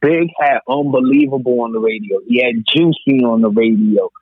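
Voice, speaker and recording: A man's voice: medium pitch, normal speed, breathy edge, no room sound. A man from New York on a podcast, debating music casually and explaining his points emphatically, repeating himself for emphasis. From New York, United States. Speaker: podcast co-host. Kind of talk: casual debate about hip hop. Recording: slightly dull, lo-fi podcast audio.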